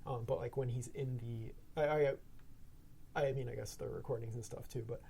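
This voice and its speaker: A man's voice: medium pitch, steady tone, normal speed, gravelly, dark tone, slight background noise. A man guest on a podcast, speaking in a hesitant, thoughtful way with many fillers. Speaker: sound designer guest. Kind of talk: casual podcast chat.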